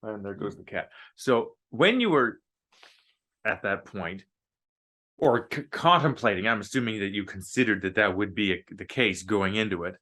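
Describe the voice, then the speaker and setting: A man with a somewhat low, bright and forceful voice hosts a casual podcast chat. He is talkative and quick to joke at his own expense. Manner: chatty, self-deprecating, jokes at himself.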